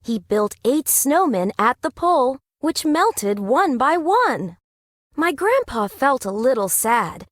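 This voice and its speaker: High, lilting woman reading rhymes slowly. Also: sing-song, dry recording, clean studio sound. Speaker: storybook narrator. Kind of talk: rhyming children's read-aloud.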